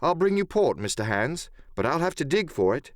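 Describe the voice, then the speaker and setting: A man's voice: on the high side, sing-song, normal speed, dry recording, clean studio sound, pitched above the performer's own voice. A man voicing an earnest, wary boy sailor in an audiobook, speaking plainly, politely and firmly. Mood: agreeable.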